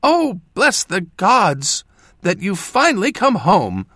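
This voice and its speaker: Male narrator putting on a high, excited older mother figure, effusive, dry close sound. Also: moderate pace, no room sound, full character voice. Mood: gushing. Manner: effusive, exclaiming. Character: warm gushing elderly farm mother.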